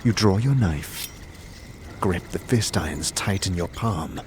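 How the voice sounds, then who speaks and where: A man narrating a scripted second-person adventure story in a measured, dramatic manner. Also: sits low, lively intonation, a little hiss or hum. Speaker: narrator, game master. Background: music.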